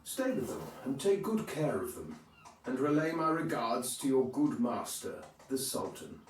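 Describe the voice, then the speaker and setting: An actor playing an imperious, excitable medieval king in a video game, grandiose and theatrical. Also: medium pitch, a little slow, a little hiss or hum, low-quality recording. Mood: grateful, commanding. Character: haughty, excitable Hungarian crusader king.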